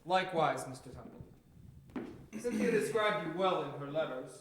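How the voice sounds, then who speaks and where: A man's voice: fairly high pitch, lively intonation, moderate pace, distant mic, background noise, close to an everyday voice. A man playing a formal, blunt visitor in a drama. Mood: formal, dry. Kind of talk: dramatic acting. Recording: very echoey room, off mic.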